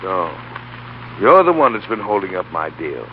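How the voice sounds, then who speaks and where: An actor playing an arrogant, bullying middle-aged business tycoon in 1930s radio style. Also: male voice, sits low, sing-song, moderate pace. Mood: scornful. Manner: blustering, domineering. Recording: muffled, noisy 1930s radio recording.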